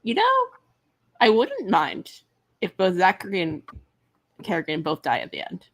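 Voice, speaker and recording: A woman's voice: fairly low pitch, huge pitch swings, normal speed. A woman co-hosting a casual book discussion, speaking in an offhand, tentative way.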